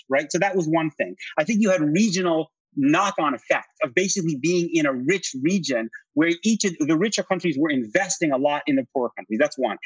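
A man speaking as an interview guest, giving conversational political analysis in an earnest, halting way with repeated words. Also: a little high, fairly bright tone, slightly rough, no room sound, rough recording. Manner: earnest, halting with repeated words, frequent "you know" and "right?".

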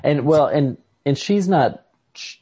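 A man in a casual, analytical literary conversation as a podcast host, often halting to search for words. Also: on the high side, lively intonation, dark tone, breathy edge, dry recording. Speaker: podcast host discussing a short story. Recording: lo-fi podcast recording.

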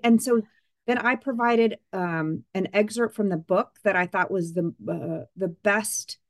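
A woman hosting a podcast interview, chatty and quick with asides, often correcting herself mid-sentence. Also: mid-range voice, no room sound, studio quality. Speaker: podcast host. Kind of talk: casual interview chat.

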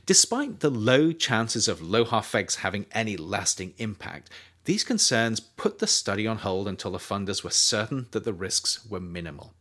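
A man reading his own nonfiction book aloud as an audiobook, in a measured, steady delivery. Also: lowish voice. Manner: measured, animated intonation. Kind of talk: audiobook narration.

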